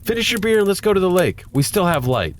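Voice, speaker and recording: A man's voice: high-pitched, big pitch swings, fast talker, a little hiss or hum. An actor playing a young adult son, playful and a little chiding toward his father. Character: caring grown son, playful and a bit worried.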